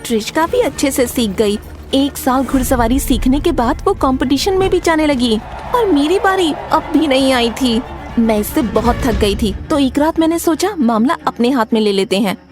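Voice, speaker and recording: Casting: aggrieved kid-sister cartoon narrator, high pitch, expressive, complaining, over music. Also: female voice, normal speed. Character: aggrieved younger sister recounting her pony-obsessed childhood. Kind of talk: first-person animated story narration.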